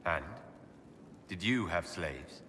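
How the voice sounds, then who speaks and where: Casting: a questioning elf leader in a game. Direct, measured wording, animated intonation, slightly low voice in an echoing hall. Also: male voice. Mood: challenging. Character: earnest, questioning male elf leader, adult. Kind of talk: video game dialogue acting. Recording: reverberant hall, faint background noise.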